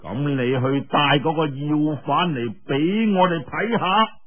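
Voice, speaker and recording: A man voicing a haughty, sarcastic male cult elder who interrogates in drawn-out, sneering Cantonese. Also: fairly high pitch, dull audio. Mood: sarcastic, suspicious.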